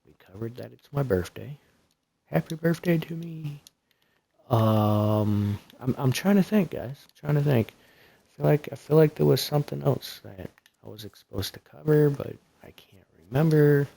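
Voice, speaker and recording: A soft-spoken man streaming a building game, talking in a casual, rambling way with lots of fillers as he walks through his build. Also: on the high side, animated, normal speed, vocal fry.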